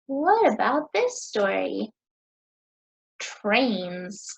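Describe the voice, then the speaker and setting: An American woman in her thirties teaching young children, asking questions in a sing-song, encouraging way with deliberate pauses. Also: medium pitch, sing-song, vocal fry, breathy edge, rough recording. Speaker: teacher hosting a children's story time.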